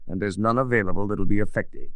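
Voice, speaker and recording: An actor playing a tough, cool-headed adventurer in a 1940s radio drama, clipped and commanding. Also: male voice, fairly low pitch, fast, gravelly, no echo, poor audio. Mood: cold, accusing.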